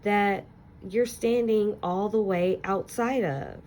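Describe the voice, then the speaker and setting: A woman teacher reading a children's picture book aloud with gentle, expressive storytelling and soft asides to young listeners. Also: medium pitch, slow pace, a little hiss or hum.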